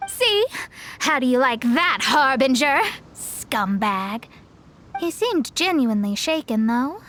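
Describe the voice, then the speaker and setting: A woman's voice: high-pitched, very animated, slow, a bit bright, a little hiss or hum, full character voice. An actress playing a proud, sassy young woman astrologer in an anime-style game, confident and articulate. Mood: smug, triumphant, then thoughtful.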